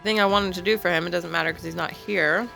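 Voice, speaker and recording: A woman's voice: on the low side, fairly even intonation, fast talker, vocal fry, pushed and bright. A woman playing a tabletop role-playing game, talking casually about her turn. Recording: background music under the voice.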